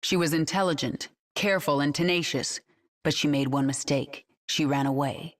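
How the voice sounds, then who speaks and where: A woman's voice: low voice, moderate pace, natural voice. An actress playing a ruthless alien swarm queen who speaks in a cold, controlled, commanding way.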